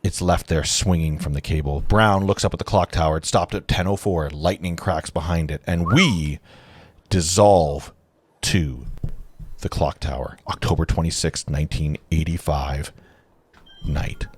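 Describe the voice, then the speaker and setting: A man's voice: extremely low pitch, unhurried, slight background noise. A man narrating stage directions at a live table read, informally, breaking off now and then to comment. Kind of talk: casual live script read-through.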